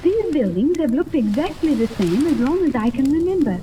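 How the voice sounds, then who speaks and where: High-voiced, earnest young girl. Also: sing-song, normal speed. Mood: solemn. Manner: formal, precise, literal-minded speech. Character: earnest, sheltered young girl raised among cold scientists. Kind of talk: 1930s radio serial acting. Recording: hissy old 1930s radio recording.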